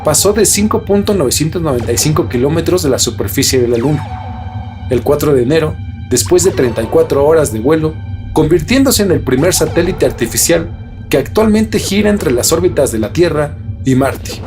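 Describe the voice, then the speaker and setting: Man narrating mystery stories over music, higher-pitched voice. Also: lively intonation, normal speed, darkish tone. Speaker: podcast host. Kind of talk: scripted mystery storytelling narration.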